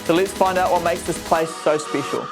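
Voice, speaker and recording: A man's voice: fairly high pitch, fairly even intonation, fairly quick, loud music behind the voice. A New man hosting, reading a scripted intro and asking interview questions in an upbeat, encouraging way. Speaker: host.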